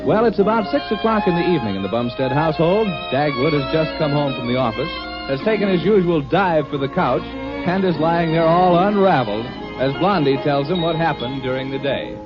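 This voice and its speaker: A man's voice: a little high, animated, fairly quick. A man announcing a 1950s radio comedy show in a showy, folksy, projected manner. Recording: muffled old radio recording, loud music.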